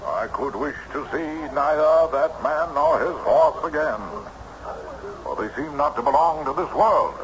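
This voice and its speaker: High, animated old-time storyteller. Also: male voice, highs cut off. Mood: ominous, confiding. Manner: old-fashioned, deliberate storytelling phrasing. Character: loquacious male country teller of eerie tales, weary traveler. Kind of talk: vintage radio drama acting. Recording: noisy band-limited old radio recording.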